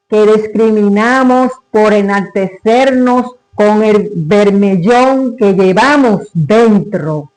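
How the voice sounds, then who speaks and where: A man reciting a literary monologue in a solemn, declamatory, deliberate manner. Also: high voice, low-quality recording.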